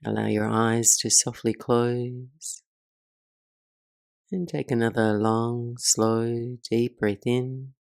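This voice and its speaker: A woman leading a guided meditation as the teacher, speaking in a soothing, measured way with soft pauses. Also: rumbling low, fairly even intonation, gravelly, no echo, studio quality.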